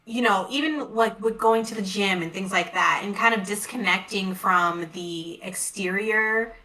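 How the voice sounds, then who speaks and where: A woman in casual conversation, talking reflectively in quick bursts. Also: medium pitch, pushed and bright, far from the mic, poor audio. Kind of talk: casual chat.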